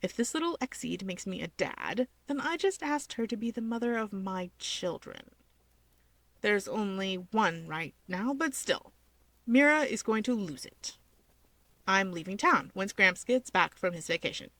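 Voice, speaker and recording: A woman's voice: mid-range voice, lively intonation, measured pace, fairly bright tone, dry recording. A woman reading first-person fan fiction as the story's narrator.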